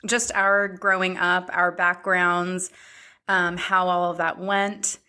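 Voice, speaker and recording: A woman in her thirties hosting a podcast, chatting in a reflective, halting way. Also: fairly low pitch, level tone, pushed and bright. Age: 30s. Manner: reflective, speaks in short halting phrases. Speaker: podcast host. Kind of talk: casual chat.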